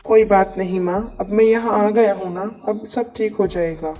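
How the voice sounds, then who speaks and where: A woman's voice: medium pitch, fairly even intonation, moderate pace, a crowd in the background, lightly acted. A woman voicing a loving, dutiful young man in a cartoon moral story, speaking earnestly. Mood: reassuring. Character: young adult son, loving, dutiful, dismayed by poverty.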